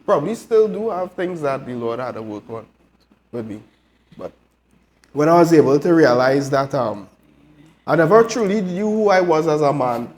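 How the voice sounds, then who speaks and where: A man's voice: a little high, lively intonation, moderate pace, long pauses, darkish tone. A man talking casually on a live talk show, telling personal stories and speaking about his faith. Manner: storytelling, laughing, faith-focused. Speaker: show regular sharing testimony.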